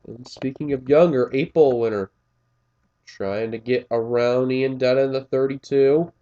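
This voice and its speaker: A man's voice: mid-range voice, steady tone, moderate pace, dark tone, highs cut off. Scratchy-voiced man calling a race. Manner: casual, rambling asides with frequent fillers and self-corrections. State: slightly scratchy voice. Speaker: race commentator. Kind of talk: play-by-play commentary of a simulated race.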